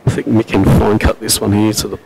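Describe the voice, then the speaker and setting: An Australian man with a low, gravelly, robust voice talks through a pool match in a relaxed, rambling way, full of ums and uhs. The live stream audio carries a constant layer of noise. He sounds like a regular commentator at the table. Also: fairly quick, rough recording. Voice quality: robust loud voice. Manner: relaxed, chatty, frequent fillers and coughs. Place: venue at a pool championship.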